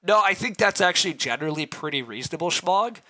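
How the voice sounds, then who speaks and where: A man with a hoarse, roughened voice talks through his moves on a live game stream. His tone is bright and edged, and he clears his throat now and then. He reasons aloud and answers viewers' comments. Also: high-pitched, expressive, fast talker. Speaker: streamer playing a board game.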